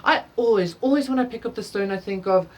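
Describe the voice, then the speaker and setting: A woman's voice: medium pitch, quick pace. A woman, an Afrikaans speaker, giving a casual tarot reading in a chatty way, often ending thoughts with "okay?". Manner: chatty, lots of "like" and "okay?" tags. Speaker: tarot reader.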